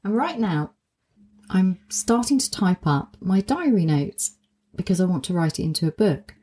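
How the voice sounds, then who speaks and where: A woman hosting her own coaching podcast, talking reflectively and musing aloud, with a slightly croaky voice from a cold. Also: on the low side, very animated, moderate pace. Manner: warm-hearted, musing, self-questioning. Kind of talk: reflective solo podcast monologue.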